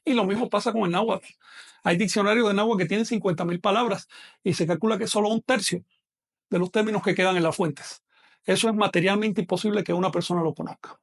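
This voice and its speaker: High, gravelly-voiced man lecturing. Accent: non-Mexican Spanish. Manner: explanatory, addresses students directly with check-in questions. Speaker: language teacher. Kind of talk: online lecture.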